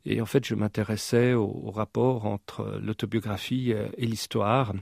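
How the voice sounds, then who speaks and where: Homme à la voix graveleuse, hésitant. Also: male voice, on the low side, level tone, moderate pace, a little mellow, no room sound, studio quality. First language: German. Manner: hesitant, many fillers and repeated words. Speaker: interview guest. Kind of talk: reflective interview answers.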